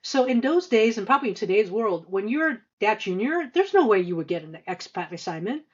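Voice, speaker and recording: Female podcast guest, fifties, hesitant conversational delivery, middle-pitched voice, dull recording. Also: sing-song. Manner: halting, hesitant delivery with frequent stammered repeats and long filler runs. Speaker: podcast guest, senior finance executive. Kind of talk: conversational interview answers, career recollection.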